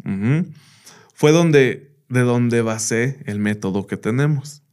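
A man's voice: medium pitch, slow pace, darkish tone. A man whose first language is English, a teacher and podcast guest, telling anecdotes in a casual interview, often saying "bla, bla" and "¿me entiendes?".

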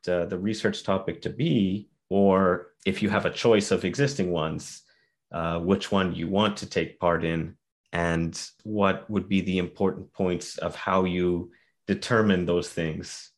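A man's voice: low voice, unhurried. A man hosting an interview, asking long, rambling questions with many restarts. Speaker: podcast host.

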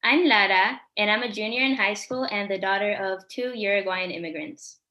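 A teenage girl co-hosts a podcast, chatting freely and filling her talk with "like." Her voice is bright and forward, at an everyday pitch. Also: steady tone, normal speed. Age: teens. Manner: chatty, lots of "like". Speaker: podcast co-host. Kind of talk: casual interview hosting.

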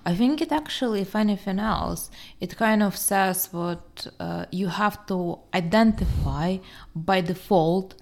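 A woman's voice: mid-range voice, measured pace, vocal fry, a little mellow. A woman co-hosting a casual discussion podcast, talking through her opinions fluently with frequent "uh" fillers. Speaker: podcast co-host.

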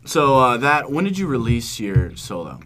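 A young man with a medium-pitched voice interviews a guest as a podcast co-host. The talk is loose, casual and bantering. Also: big pitch swings, moderate pace, noisy background.

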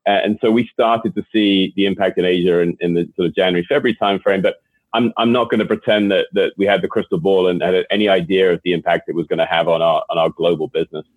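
An Englishman with a low, slightly husky voice speaks in an interview, often doubling words as he restarts his sentences. He comes through a thin, phone-like line with no room sound at all. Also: steady tone, quick pace, no room sound. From England. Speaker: guest executive. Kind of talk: interview answers.

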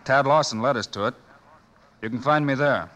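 A man playing a steady, self-assured Old West marshal in a 1950s radio drama, cool and matter-of-fact, with an American accent. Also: medium pitch, normal speed, lo-fi sound, lightly acted. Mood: cool, confiding. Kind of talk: 1950s radio western acting. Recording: noisy old radio recording.

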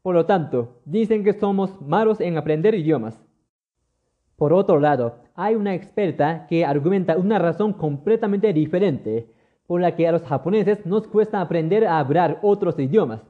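A man's voice: sits high, expressive, moderate pace, narrow, tinny audio. A man from Japan with a Japanese accent, hosting a scripted solo podcast in an earnest, lightly humorous teaching tone. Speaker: podcast host. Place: lives in Mexico.

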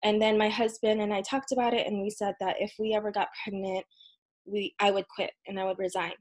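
A woman in her thirties, a podcast guest, telling a personal story in an earnest, casual way with frequent ums and soft laughs. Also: medium pitch, level tone, fairly quick. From United States. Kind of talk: casual interview conversation.

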